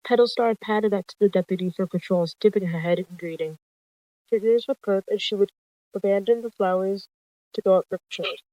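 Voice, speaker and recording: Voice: mid-range voice, fairly quick, dry recording, highs cut off. A storyteller reading out a scripted animal roleplay tale, narrating and voicing all the characters.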